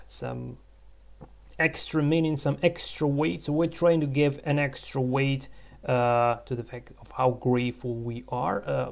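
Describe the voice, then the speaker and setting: A man whose first language is Russian, teaching English by thinking aloud in a halting way, with many fillers and restarts. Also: medium pitch, vocal fry, darkish tone. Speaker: English tutor. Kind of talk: screen-recorded language lesson.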